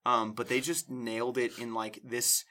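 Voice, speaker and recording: A man's voice: medium pitch, little pitch movement, moderate pace, bright, forceful tone. A man co-hosting a podcast, chatting casually and enthusiastically, rambling with lots of fillers. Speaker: podcast co-host. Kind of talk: casual chat.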